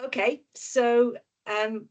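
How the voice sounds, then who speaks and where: A woman's voice: fairly high pitch, fairly even intonation, drawn-out words, darkish tone, lo-fi sound. A middle-aged woman with a Welsh accent, a doctor and nutritionist answering webinar questions frankly and reassuringly, with plenty of ums and restarts. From Wales. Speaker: GP and nutritionist guest speaker.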